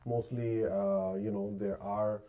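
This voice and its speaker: A man's voice: fairly low pitch, level tone, slow, dull audio. A man, a Punjabi speaker, hosting a casual live stream as a realtor, full of hesitant fillers and restarts. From Pakistan. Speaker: podcast host, realtor and mortgage agent.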